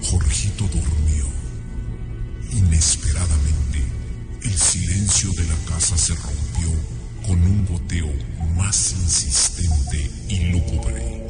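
Guy doing a grave mexican horror radio narrator, really deep and slow, ominous, loud music behind. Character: grave, sinister male teller of a horror tale.

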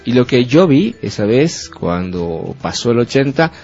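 A man's voice: mid-range voice, big pitch swings, measured pace, a little mellow. Hesitant man on radio. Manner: agreeable, hesitant, with many fillers. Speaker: radio co-host. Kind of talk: casual radio talk. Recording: dull lo-fi radio with faint music.

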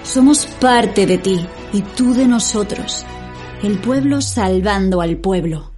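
A woman's voice: medium pitch, takes it slowly, dark tone, soft background music, lightly acted. A woman playing an opinionated fictional character in an audio drama. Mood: solemn, earnest. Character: opinionated female fictional character, partner of her writer.

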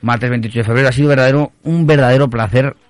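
A man's voice: medium pitch, fairly even intonation, fast talker, dry recording. A man hosting a casual late-night radio chat, often stammering and repeating words as he talks, laughing easily. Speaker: radio host.

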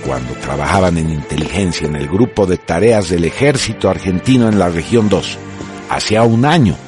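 A man's voice: low voice, expressive, moderate pace, music in the background. A man reading scripted dramatic narration for a radio serial in a grave, formal manner.

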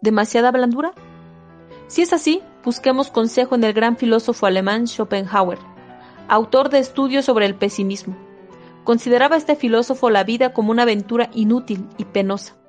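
A woman giving a steady, measured reading of a self-help book, with a few small slips and restarts. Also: fairly high pitch, faint music behind. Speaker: audiobook reader.

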